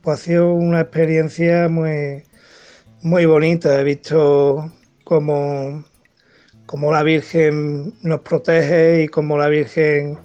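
A man from southern Spain with an Andalusian accent, giving a halting devotional testimony on a dull, lo-fi recording. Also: a little high, flat delivery, a little mellow, clear, resonant tone, faint music behind. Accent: Andalusian Spanish. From Chipiona, southern Spain. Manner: halting, repeats words. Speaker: radio volunteer.

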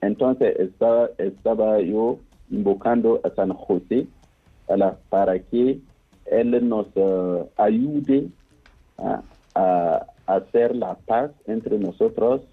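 Slow, husky priest on a phone line. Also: male voice, lowish voice. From Burkina Faso. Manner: slow, earnest, gentle. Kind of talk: phone interview, prayer.

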